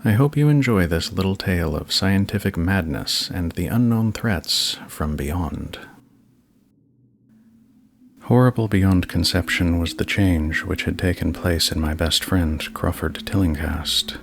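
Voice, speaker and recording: A man with a very low voice and a heavy creak reads scripted narration. His delivery is measured, solemn and slightly dramatic, like a horror storyteller, over light background noise. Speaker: narrator, channel host.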